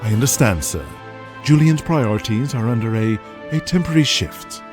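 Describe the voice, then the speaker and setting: A man's voice: mid-range voice, expressive, normal speed, lightly acted. Man voicing polite, placating executive over music. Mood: anxious reassuring. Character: deferential male executive placating his angry boss. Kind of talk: audio drama dialogue.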